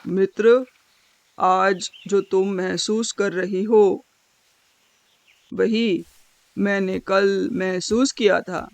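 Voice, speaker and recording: A woman voicing a calm, wise, dignified male stork with a polite, measured and gentle manner in an animal fable. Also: mid-range voice, no echo.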